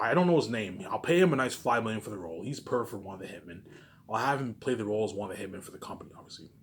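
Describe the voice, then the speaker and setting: A man's voice: on the low side, a little hiss or hum. A man delivering a rambling solo vlog into a phone, emphatic, boastful and repetitive, often asking questions and answering them himself. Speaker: vlogger. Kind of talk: rambling solo monologue to camera.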